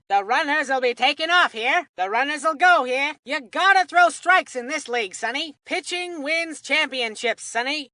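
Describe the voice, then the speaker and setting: A woman voicing a folksy, excitable boy who calls baseball in a video game, full of old-timey homespun sayings. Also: high voice, no room sound, studio quality, heavily acted. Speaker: baseball commentator. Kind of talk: video game sports commentary.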